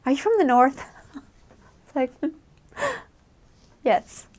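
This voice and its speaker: A woman's voice: high voice, very animated, very slow. A young woman from the United States, a returned missionary, giving chatty advice and laughing often as she acts out quoted voices. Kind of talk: casual advice talk.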